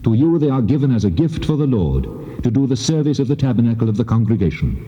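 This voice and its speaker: Solemn God, dark and cavernously reverberant. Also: male voice, medium pitch, very animated. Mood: solemn, commanding. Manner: solemn, measured, authoritative declaiming. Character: God of Israel, solemn commanding lawgiver. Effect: heavy reverb on the voice. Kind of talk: dramatised scripture reading in King James English. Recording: muffled tape, long cavernous reverb.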